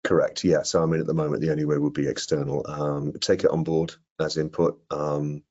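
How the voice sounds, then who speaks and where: A middle-aged man answering technical questions in an online webinar, explaining things in a measured, careful way. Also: very deep voice, level tone, darkish tone. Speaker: product presenter answering questions. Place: indoor room on a video call. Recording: muffled video-call audio.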